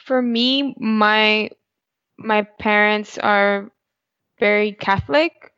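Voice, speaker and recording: A woman whose first language is Spanish talks through her thoughts, pausing and restarting. The audio is dry and somewhat muffled. Her pitch sits in the middle. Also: a bit bright, clear, resonant tone, no echo. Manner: reflective, with frequent restarts. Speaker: co-host. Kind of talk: casual podcast conversation. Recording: dull, dry podcast audio.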